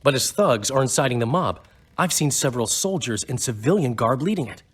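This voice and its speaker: An actor playing a wry, eloquent, cynical bard in a fantasy video game, with a husky voice. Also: male voice, mid-range voice, animated, brisk. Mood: wry, informing. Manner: wry, theatrical phrasing.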